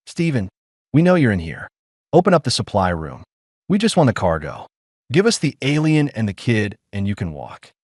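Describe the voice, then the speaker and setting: A man voicing a menacing, mocking mercenary who calls out loudly. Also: very animated, moderate pace, dry recording, clean studio sound. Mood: taunting. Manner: booming, mocking.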